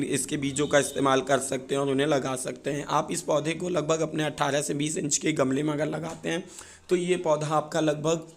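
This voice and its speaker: A man with a hoarse, sore-throated voice, explaining things informally and a little haltingly as a gardening video presenter. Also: fairly high pitch. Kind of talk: informal explanatory talk to viewers.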